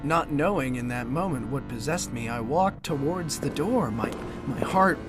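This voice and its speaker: Young gentleman played by a man, formal and bumbling, gothic audio play narrator, clear music bed. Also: medium pitch, expressive, normal speed, lightly acted. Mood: earnest storytelling. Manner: formal, wordy storytelling. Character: impertinent, bumbling young gentleman recounting a ghostly mystery.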